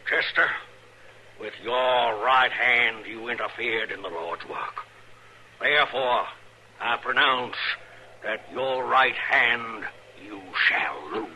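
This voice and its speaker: An actor playing a severe, mad middle-aged frontier preacher in an old radio western, pronouncing solemn biblical judgment. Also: male voice, medium pitch, animated, takes it slowly, bright, forceful tone, heavily acted. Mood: stern judgment. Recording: noisy old radio recording.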